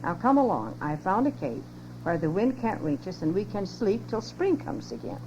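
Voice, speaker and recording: A woman's voice: mid-range voice, very animated, moderate pace, lightly acted. An older woman reading aloud, voicing a stern but caring mother bear in a storybook, on a lo-fi home cassette tape with hum. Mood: motherly.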